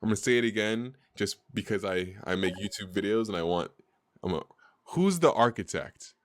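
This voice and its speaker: A man live streaming and chatting excitedly, often repeating words in quick bursts. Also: lowish voice. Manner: excitable, repeats himself rapidly.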